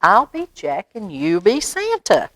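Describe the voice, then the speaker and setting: A woman's voice: medium pitch, wildly swooping pitch, measured pace, fairly bright tone, no echo, heavily acted. A woman voicing a shy, earnest little boy who is practicing being brave, in a picture book read-aloud.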